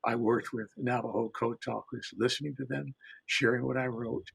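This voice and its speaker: Older male storyteller, very husky with gravelly creak, measured and reflective, dry close sound. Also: mid-range voice, fairly even intonation, low-quality recording. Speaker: author and storyteller panelist. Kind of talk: reflective panel talk.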